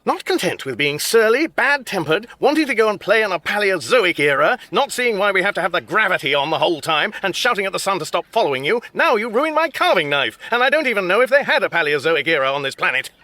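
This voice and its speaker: A man's voice: high voice, big pitch swings, quick pace, hardly any pauses, bright, forceful tone, no room sound, full character voice. An actor playing a fussy, exasperated middle-aged father with an English accent, dry and pedantic, in a radio comedy drama.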